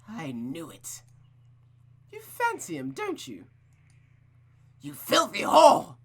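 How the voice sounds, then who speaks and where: Lady doing a cruel bossy mom from a visual novel, theatrical and scornful, kinda husky, pitch jumps up. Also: wildly swooping pitch, heavily acted. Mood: scornful fury. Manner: theatrical, drawn-out, scornful delivery. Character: cruel, venomous mother, domineering and accusing.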